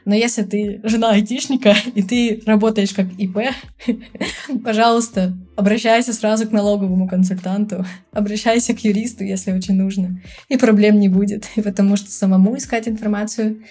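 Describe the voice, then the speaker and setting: Chatty woman guest, medium pitch, laughs a lot, lots of um and uh. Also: steady tone, brisk, a little mellow. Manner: chatty, laughs often, many filler sounds; acts out people's lines in quotes. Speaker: podcast guest. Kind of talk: casual chat.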